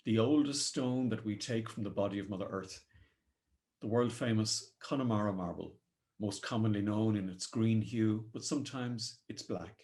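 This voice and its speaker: A man with a low-set voice and an Irish accent guides a meditation. His phrasing is solemn and poetic, measured out phrase by phrase as he gives gentle instructions. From Ireland.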